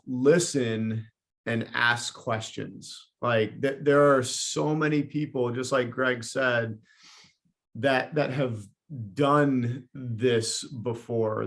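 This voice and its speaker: A man who co-owns a business, speaking as a podcast guest in halting casual chat with many restarts. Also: mid-range voice, vocal fry, fairly bright tone. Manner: halting, repeats words.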